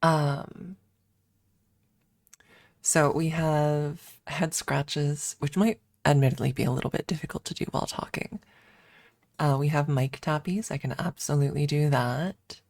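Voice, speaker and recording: A woman's voice: low-pitched, fairly even intonation, unhurried, a little mellow, no room sound. A woman streamer chatting casually and informally in an ASMR livestream, thinking aloud close to the microphone. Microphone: close microphone adjusted for ASMR.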